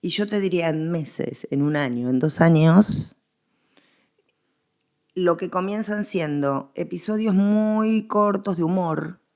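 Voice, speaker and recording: A woman's voice: low voice, vocal fry. An Argentine woman speaking as a guest expert in a conversational interview, explaining history with emphatic, repeated phrasing.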